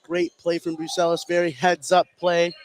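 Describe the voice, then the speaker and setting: A man's voice: a little high, monotone, moderate pace. A man doing live basketball commentary for radio, explaining the play in an analytical way. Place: indoor basketball gym. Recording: live gym broadcast with faint noise. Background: faint gym noise, bouncing basketball.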